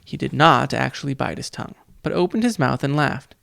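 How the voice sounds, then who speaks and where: A man reading a children's audiobook, narrating in a measured, deliberate way. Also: medium pitch, animated, creaky, a bit bright, dry recording, clean studio sound. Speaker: audiobook reader.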